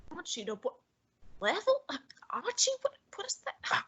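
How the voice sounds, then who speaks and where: A young woman voicing a formal, fussy genius male detective in an old-time radio drama, speaking in a deliberate, exacting manner. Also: very high voice, wildly swooping pitch, a bit bright, exaggerated voice. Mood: reasoning. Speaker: student actor.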